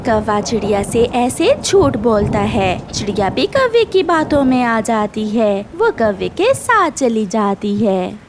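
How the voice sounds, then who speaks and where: A woman's voice: fairly high pitch, very animated, slow pace, hardly any pauses, background noise. A woman reading scripted narration for a children's cartoon story.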